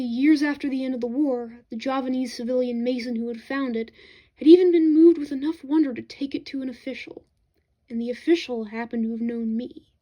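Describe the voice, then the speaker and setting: A woman reads fiction aloud in a high, mellow voice. Her delivery is that of expressive literary narration, shaping each descriptive sentence as a storyteller would. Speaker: audiobook-style reader of fiction.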